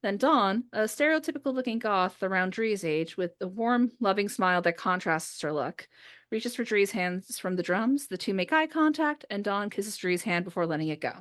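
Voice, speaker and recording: A woman's voice: medium pitch, expressive, moderate pace, a bit bright. A woman hosting a podcast, chatting casually with friends and reading out a script she wrote. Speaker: podcast host, writer and script reader. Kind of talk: casual chat with friends before a script read-through.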